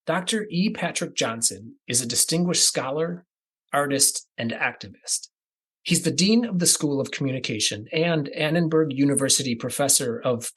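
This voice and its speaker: A man from the American Midwest hosting a podcast, reading a scripted introduction in a measured, deliberate cadence that moves through lists. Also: mid-range voice, husky. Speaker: podcast host.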